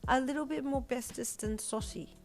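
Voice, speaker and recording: A shy little girl with a squeaky, very high voice that stammers when flustered. She sounds thin and earnest, speaking with childish seriousness. Soft music plays faintly behind. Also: moderate pace.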